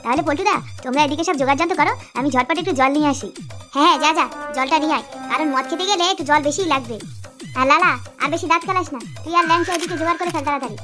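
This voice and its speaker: Squeaky, fast-talking rowdy cartoon youth. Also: male voice, music in the background. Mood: eager. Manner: slangy, crude, bantering. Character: rowdy foul-mouthed village youths, cocky and mischievous. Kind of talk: comic cartoon dialogue.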